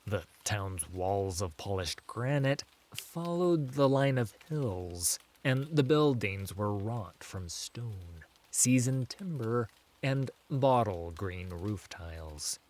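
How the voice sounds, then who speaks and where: A man narrating a fantasy story as a scripted audiobook, with measured phrasing and dramatic pauses. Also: lowish voice, animated, darkish tone.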